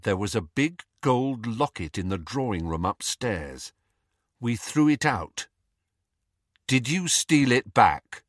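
A man's voice: medium pitch, sing-song, takes it slowly, no echo, clean studio sound. A man voicing a teenage boy wizard who speaks fiercely and demandingly in an audiobook. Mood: urgent. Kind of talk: audiobook character voice.

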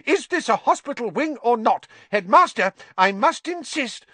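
A man voicing an older female school nurse who talks in a shrill, insistent, hysterical way. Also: very animated, normal speed, pushed and bright, slightly rough, dry recording, heavily acted. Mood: indignant.

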